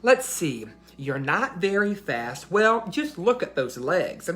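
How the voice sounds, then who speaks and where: Woman voicing a squeaky little storybook mouse, animated. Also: slow pace, faint music behind, heavily acted. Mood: critical, puzzled. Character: small squeaky male mouse, bossy, brisk, kindly. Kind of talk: children's storybook read-aloud.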